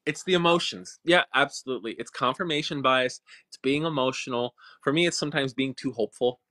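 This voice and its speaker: A man hosting an interview over a video call, chatting casually and acting out his own reactions. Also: a little fast. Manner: lively, acts out his own reactions in a high voice. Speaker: interviewer host. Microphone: video call webcam.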